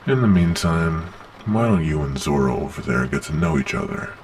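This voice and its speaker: A man's voice: very deep voice, expressive, normal speed, a little hiss or hum. A man playing a weary, matter-of-fact monster agent with a businesslike manner in an ASMR roleplay. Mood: businesslike, conceding.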